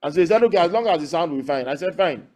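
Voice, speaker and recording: A man with a Nigerian accent telling his story in an interview as a loudspeaker builder, emphatic and punctuating his points with rhetorical questions. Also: on the high side, animated, racing. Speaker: loudspeaker builder and audio engineer, guest.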